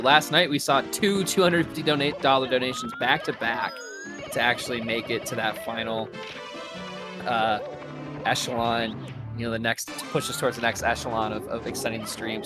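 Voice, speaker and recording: A man's voice: mid-range voice, fairly even intonation, brisk, loud music behind the voice. An upbeat, easygoing man commentating a live speedrun stream.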